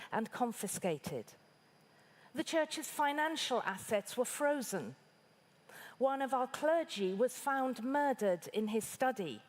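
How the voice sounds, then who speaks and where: A woman from Iran, a bishop, delivering a formal prepared keynote address in a measured, articulate way. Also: sits high.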